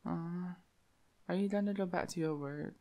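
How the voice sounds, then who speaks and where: A man's voice: high-pitched, normal speed, mellow. A man voicing an affectionate, shy young dog-boy boyfriend in an intimate roleplay, with a lisp and hesitant, cutesy delivery. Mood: puzzled, pleading. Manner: breathy giggling, hesitant stammering, cutesy. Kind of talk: intimate roleplay audio acting.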